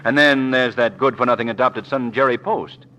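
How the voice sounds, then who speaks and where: An actor playing a suave, sardonic gentleman sleuth in a 1940s radio drama, with dry, urbane delivery. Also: medium pitch, normal speed, lightly acted. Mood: wry, teasing. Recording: dull, faintly noisy vintage 1940s radio recording.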